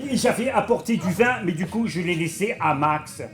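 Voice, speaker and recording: Naive high-voiced man with a cold. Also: lively intonation, moderate pace, music in the background. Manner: naive, earnest, oddball. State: stuffy with a cold. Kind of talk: comedy sketch acting.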